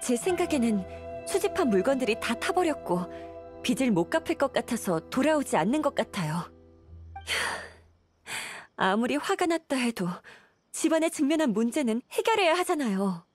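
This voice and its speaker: Casting: troubled young-sounding game wife, high lively voice, polite, weary, plaintive, music behind. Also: female voice, moderate pace. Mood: worried, resigned. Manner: polite, plaintive, earnest storytelling. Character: weary, apologetic wife and mother burdened by debts. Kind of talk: video game voice acting.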